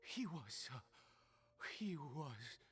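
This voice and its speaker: An actor playing an eerily fascinated admirer of power in a video game, breathy, halting and rapturous. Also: male voice, a little high, very animated, a bit bright, heavily acted. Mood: awed, fascinated. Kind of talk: theatrical video game dialogue.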